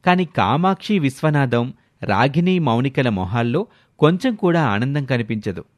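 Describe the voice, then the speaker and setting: A man's voice: medium pitch, animated, normal speed, no room sound. A man narrating a scripted moral story as a storyteller.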